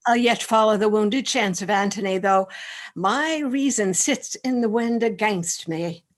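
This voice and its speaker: A woman's voice: mid-range voice, a little slow, natural voice. A woman playing a loyal, worried male officer in a Shakespearean radio play, earnest and declamatory. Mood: resigned. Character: loyal, worried male military officer advising his general.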